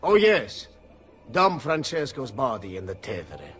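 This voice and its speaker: A man playing a ruthless, possessive tyrant lord in a video game, menacing and mocking, moving between hiss and shout. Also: mid-range voice, huge pitch swings, unhurried. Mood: gloating command. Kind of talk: dramatic video game voice acting.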